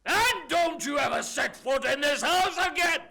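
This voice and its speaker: A man's voice: very high-pitched, measured pace, creaky, sharp tone, heavily acted. An actor playing a hot-tempered, blustering father in a stage farce, ranting in an exasperated, melodramatic way. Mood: outraged, insulting. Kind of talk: stage farce read as audio drama.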